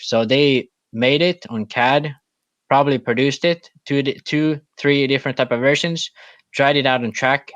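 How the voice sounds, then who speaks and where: Man, halting explainer, dull lo-fi mic, dry. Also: medium pitch, fairly bright tone, no room sound. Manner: explanatory, hesitant with many fillers. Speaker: podcast co-host. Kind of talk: casual podcast chat.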